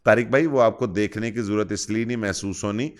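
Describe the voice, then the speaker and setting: Smooth-voiced Pakistani man, reassuring and insistent. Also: fairly low pitch, fairly quick. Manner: insistent, reassuring, matter-of-fact explaining. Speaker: prank caller. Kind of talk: prank phone call in conversational Urdu.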